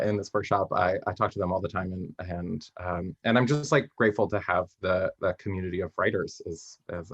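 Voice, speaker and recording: A young man being interviewed as a playwright, chatting casually and haltingly, often correcting himself as he thinks aloud. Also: sits low, fairly bright tone, muffled recording.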